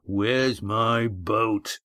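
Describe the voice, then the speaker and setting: A man voicing a huge, tough village fisherman who talks in a gruff, blunt way. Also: on the low side, fairly even intonation, moderate pace, fairly bright tone, no room sound. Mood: growling.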